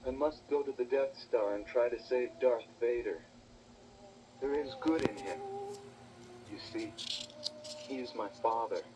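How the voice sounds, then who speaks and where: An actor playing an earnest young rebel hero in a children's read-along adventure, speaking solemnly. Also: male voice, mid-range voice, unhurried, pauses often, natural voice. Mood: solemn, resolute. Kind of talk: children's read-along audio drama acting. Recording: muffled distorted toy cassette, faint noise.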